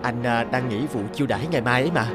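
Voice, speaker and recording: An actor playing a weary, reserved young male company director in a cartoon drama, hesitant and low-key. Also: fast talker, over music, close to an everyday voice. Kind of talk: animated drama dubbing.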